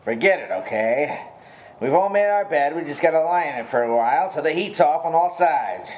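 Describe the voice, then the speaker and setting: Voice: mid-range voice, expressive, normal speed, a little mellow, slight background noise, natural voice, low-quality recording. An actor playing a jittery, bossy gangster boss who snaps out tough-guy slang in a noir radio comedy. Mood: wary, insistent.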